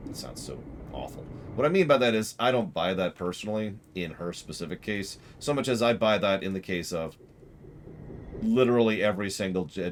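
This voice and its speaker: A man's voice: low-pitched, slight background noise. A man giving casual live commentary as a game streamer, emphatic and digressive.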